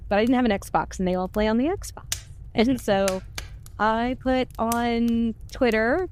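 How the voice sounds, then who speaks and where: American woman in her forties, slightly low voice. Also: a little mellow, slight background noise. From United States. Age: 40s. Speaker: podcast voice actor. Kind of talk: casual conversational storytelling.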